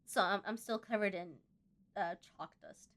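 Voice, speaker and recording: A woman's voice: medium pitch, unhurried, fairly bright tone. A woman hosting a casual let's-play, reading game text aloud and breaking off into chatty asides. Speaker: host of a gaming channel.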